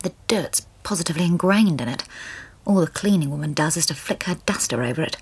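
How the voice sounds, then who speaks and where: A well-bred young English wife in a radio play. Her voice is breathy and swings widely in pitch. She sounds highly strung and brave. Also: animated, fast talker, a little mellow, heavily acted. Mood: tense brooding. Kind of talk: British radio drama acting.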